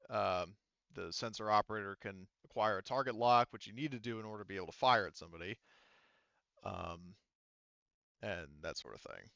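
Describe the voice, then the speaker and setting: A man's voice: lowish voice, a little fast, vocal fry, pushed and bright, no room sound, muffled recording. A man explaining tabletop game rules as game master, casually rambling and thinking aloud.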